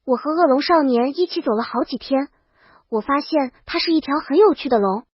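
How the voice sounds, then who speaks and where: A woman's voice: sits high, expressive, fairly quick, no echo, dull audio. A woman reading scripted first-person audiobook narration as the storyteller.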